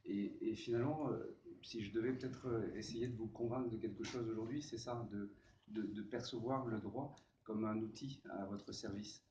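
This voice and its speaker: A hoarse man with a cold, speaking at a round table with many restarts and hesitations. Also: on the low side, steady tone, moderate pace, dark tone. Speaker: panelist on legal matters. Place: conference room. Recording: echoey room, distant dull mic, faint noise.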